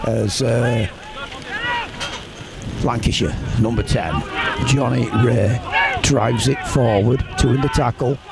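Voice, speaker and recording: A man's voice: medium pitch, steady tone, slow, gravelly, over a noisy crowd, very noisy. A man calling an amateur rugby league match outdoors in the rain, chatty, his voice rising on big plays. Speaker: play-by-play commentator. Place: outdoors at a rainy rugby ground. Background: spectators and rain.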